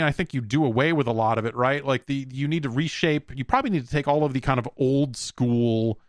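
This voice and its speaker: An American man hosting a podcast alone in a casual, rambling, halting way, thinking aloud and speaking bluntly. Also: on the low side, dry recording, clean studio sound. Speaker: podcast host. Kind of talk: casual solo podcast talk, reading listener emails.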